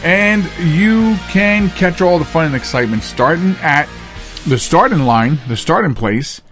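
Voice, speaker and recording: Male talk host, slightly high register, casual and chatty, folksy showman who digresses. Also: animated, a little slow, a bit bright, soft background music. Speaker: podcast host. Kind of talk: casual, chatty monologue to listeners.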